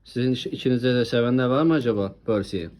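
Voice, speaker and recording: A woman's voice: rumbling low, fast talker, darkish tone. A woman hosting a casual toy showcase with a child, chatting in an encouraging way and often asking questions. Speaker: host presenting toy trains with a child.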